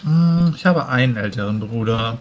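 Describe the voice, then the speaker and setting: A man roleplaying a calm, matter-of-fact human adventurer in a tabletop game. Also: mid-range voice, normal speed, highs cut off, natural voice. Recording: faint background noise.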